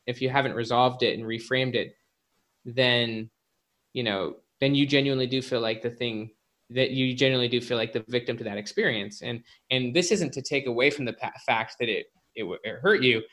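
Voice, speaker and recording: Bright-voiced man explaining casually in an interview. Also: mid-range voice, brisk. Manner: informal, filler-heavy with frequent "like" and "you know". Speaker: guest psychologist and author. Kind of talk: conversational interview explanation with anecdotes.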